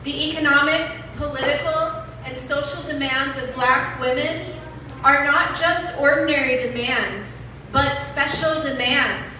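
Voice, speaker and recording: A woman giving a dramatic reading of a political essay with emphatic, deliberate phrasing. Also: high-pitched, bright, forceful tone. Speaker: reader at a rally event. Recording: echoey hall from far off, faint noise.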